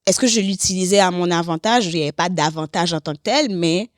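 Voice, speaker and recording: A woman's voice: fairly low pitch, brisk, no echo, clean studio sound. A woman from Haiti and Canada, talking candidly as a podcast guest and slipping in English phrases. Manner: expressive, slips English phrases into French. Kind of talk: candid conversational interview.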